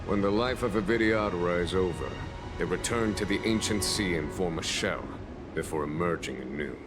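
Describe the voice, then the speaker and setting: A man playing a composed, courteous and wise commander in a video game, with formal, measured speech. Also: sits low, close to an everyday voice. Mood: calm, advisory. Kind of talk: video game character acting. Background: music.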